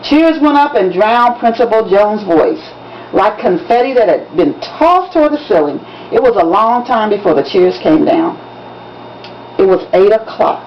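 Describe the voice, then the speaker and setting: A woman, an author reading from a novel in an expressive, dramatic audiobook style with emphatic storytelling. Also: mid-range voice, a little slow. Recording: dull lo-fi recording with background noise.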